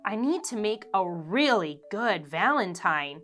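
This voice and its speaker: A woman's voice: mid-range voice, big pitch swings, measured pace, a bit bright, soft background music. A woman voicing an earnest, hopeful young schoolboy in a storybook read-aloud, sounding a little worried.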